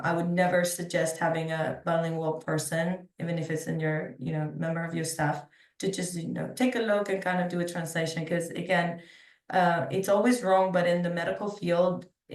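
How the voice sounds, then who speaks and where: A woman's voice: fairly low pitch, steady tone, moderate pace. A woman on a webinar panel, explaining earnestly as a translation expert and repeating words for emphasis. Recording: roomy echo, distant from mic.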